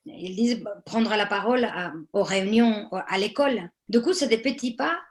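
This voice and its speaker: Animated woman sharing workshop stories. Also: medium pitch, moderate pace. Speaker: theatre workshop leader for women. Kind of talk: panel discussion.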